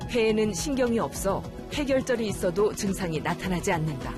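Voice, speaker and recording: A narrator reading a scripted medical documentary in a measured, formal way. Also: medium pitch, very animated. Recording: music behind the voice. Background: background music.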